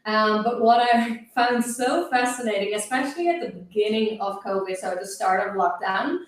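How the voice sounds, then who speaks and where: A woman's voice: mid-range voice, normal speed. Dutch-accented woman in an echoey room. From Netherlands. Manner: reflective, talks with hesitant fillers. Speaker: coach, guest. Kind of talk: casual interview answers. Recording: roomy audio from far off the mic.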